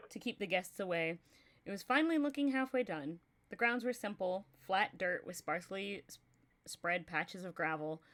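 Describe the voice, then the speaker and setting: A woman's voice: medium pitch, fairly bright tone. A young woman from Texas with a Texan accent, reading a story aloud on a livestream and chatting casually, laughing often.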